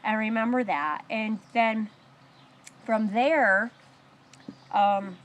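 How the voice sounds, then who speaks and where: A woman sharing her own story of surviving abuse in a support circle, talking in a halting, reflective way with pauses and restarts, and slowing and softening on painful memories. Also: fairly high pitch. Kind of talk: personal testimony in a support circle.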